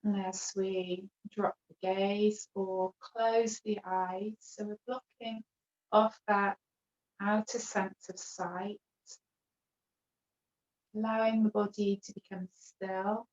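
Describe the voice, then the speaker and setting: Slow-speaking woman guiding meditation. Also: mid-range voice, flat delivery, reverberant. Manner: gentle, unhurried phrasing with long pauses. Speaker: yoga teacher leading a guided relaxation class. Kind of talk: guided meditation instruction. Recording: dull, lo-fi video call sound.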